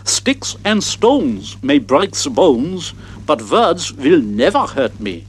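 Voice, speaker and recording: A man voicing a polite, gentle male giraffe newly arrived from abroad. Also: on the high side, big pitch swings, slow, a little hiss or hum.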